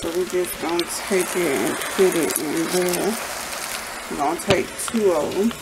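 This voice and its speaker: A woman's voice: on the low side, a little slow. A woman chatting casually while she cooks in her home kitchen, rambling as she works at the stove. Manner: relaxed, rambling, talks while cooking. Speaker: home cooking vlogger. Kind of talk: casual cook-along narration. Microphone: camera pointed at the pot, speaker off-frame. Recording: home camera with kitchen noise.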